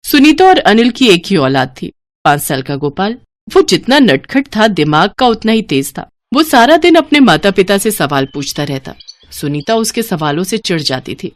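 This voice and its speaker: A woman's voice: medium pitch, sing-song, moderate pace, no room sound. A woman narrating a scripted moral story as a storyteller.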